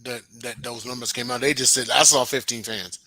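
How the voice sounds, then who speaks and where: A man's voice: medium pitch, fairly even intonation, brisk, sharp tone, slightly rough, dry recording. A man from Houston on a sports talk panel, chatty and joking about himself, with plenty of fillers. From Houston, Texas. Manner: chatty, self-deprecating humor, lots of fillers.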